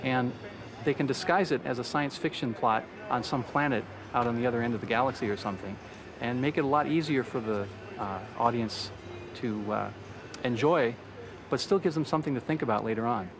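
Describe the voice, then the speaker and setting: A man's voice: medium pitch, fairly quick. A man in his thirties giving an earnest, articulate explanation in an interview. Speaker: convention attendee. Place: large indoor convention arena. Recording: noisy convention hall background.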